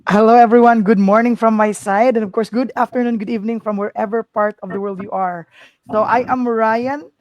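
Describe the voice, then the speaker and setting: A man's voice: high voice, steady tone, fairly quick, lo-fi sound. A man from the Philippines, a nurse, introducing himself on a video call with formal greetings. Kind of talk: brief self-introduction.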